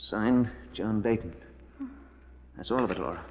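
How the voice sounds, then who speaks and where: A man's voice: fairly low pitch, normal speed, very noisy, lightly acted. An actor playing a tense, guilty man trapped in a cave, in emphatic 1940s radio melodrama. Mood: grim, reading aloud. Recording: muffled 1940s radio recording, reverberant.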